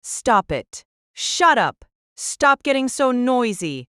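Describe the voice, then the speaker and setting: A woman acts a brash warrior queen in an audio drama. The voice is smooth and clear, recorded dry and close, with a curt, commanding delivery that relishes battle. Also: a little high, sing-song, slow pace, a bit bright, no room sound, full character voice. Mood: annoyed, commanding. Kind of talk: fan audio drama acting.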